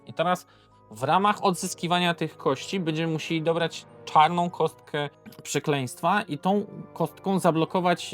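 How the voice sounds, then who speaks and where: A man presenting a board game in an informal, unscripted way, conversational, posing questions and answering them himself. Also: high-pitched. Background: background music.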